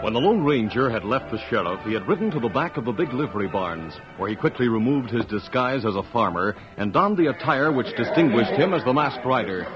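A man's voice: mid-range voice, lively intonation, brisk, hardly any pauses, over music. A man narrating a scripted 1940s radio drama as announcer, formal and dramatic. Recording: dull vintage radio recording.

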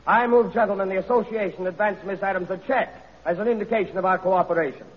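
A high-pitched, husky male voice plays a blustery, condescending businessman in an old radio drama. It rings in a large hall on a muffled recording. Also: fast. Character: gruff, condescending male factory-owning businessman. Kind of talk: vintage radio drama acting. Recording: dull old broadcast, reverberant hall.

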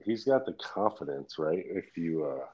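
A man's voice: low-pitched, animated, moderate pace, warm tone, husky. A man in casual chat, talking frankly and rambling, swearing freely and laughing often. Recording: dull lo-fi audio.

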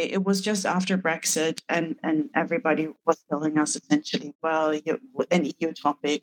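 A woman from Germany, whose first language is German, answering as a book co-author in a measured way, with frequent um fillers. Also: on the low side, no room sound, low-quality recording. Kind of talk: podcast interview answers.

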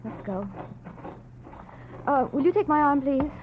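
A woman playing a frightened young woman in a 1940s radio drama, soft and anxious. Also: high voice, lively intonation, unhurried, voice pushed up. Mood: nervous. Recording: dull old radio recording with hiss.

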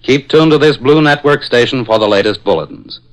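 A man's voice: medium pitch, a little fast, poor audio. An American man reading a wartime news bulletin in formal, clipped 1940s radio style.

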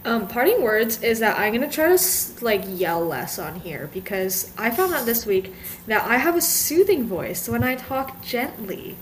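A woman's voice: fairly high pitch, very animated, moderate pace, slight background noise, low-quality recording. A woman in her twenties co-hosting a podcast, chatting casually with many fillers and trailing sentences. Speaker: podcast co-host. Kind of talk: casual chat.

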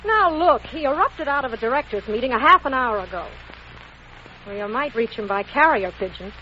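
An actress playing a brisk, exasperated, wisecracking secretary on the phone in a 1940s radio comedy. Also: female voice, a little high, lively intonation, pushed and bright. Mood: sassy, flustered. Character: brisk sassy secretary fielding a demanding caller on phone. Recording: dull noisy old radio recording.

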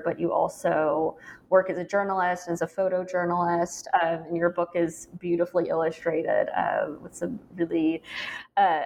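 A woman with a low voice and a gravelly edge hosts an academic interview. She asks careful, hedged questions at a measured pace. A faint noise runs behind her. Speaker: interviewer host.

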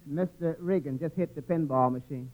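Male actor as wry waiter, old radio comedy. High-pitched, animated, deflating, heard through tinny vintage broadcast sound. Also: moderate pace, very noisy, natural voice. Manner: deflating, wry one-liners. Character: wisecracking, literal-minded tavern waiter puncturing his boss. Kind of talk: classic radio comedy acting.

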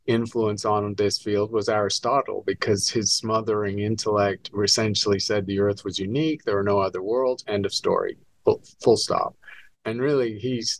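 An older man, born in Scotland, answering interview questions as an astronomy professor in a relaxed, wry, explanatory way. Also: on the low side, dry recording. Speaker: astronomy professor, guest author.

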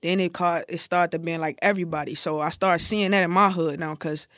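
A man's voice: on the high side, steady tone, brisk, fairly bright tone, no room sound, studio quality. A man with a Chicago accent, a guest in a casual interview, telling stories in loose, candid slang with plenty of swearing. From Chicago, United States. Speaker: interview guest, music artist. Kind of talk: casual storytelling chat.

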